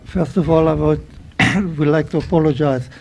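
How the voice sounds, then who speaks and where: A man in his nineties, a native German speaker, nervously giving personal testimony at a microphone in halting English. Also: a little high, steady tone, vocal fry, noisy background. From Czechoslovakia. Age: 90s. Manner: halting, searching for words, many restarts. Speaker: guest speaker at a public event.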